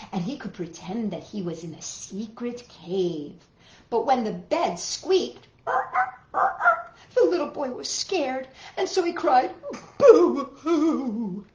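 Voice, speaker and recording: Animated, unhurried woman reading stories to children. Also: on the high side. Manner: simple phrases with dramatic emphasis. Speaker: children's story-time host. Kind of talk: animated read-aloud storytelling for children. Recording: roomy, dull lo-fi home recording.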